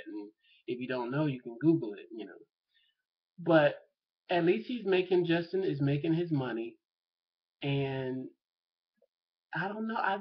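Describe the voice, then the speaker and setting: A man's voice: medium pitch, husky, dull audio. A man talking casually to camera in an opinion vlog, rambling and thinking aloud with lots of fillers. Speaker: vlogger.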